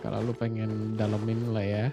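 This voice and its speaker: A man's voice: fairly low pitch, monotone, fairly quick, music in the background. An Indonesian man giving casual live-stream commentary on a game, with an Indonesian accent. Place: indoor live-stream setup, game audio behind.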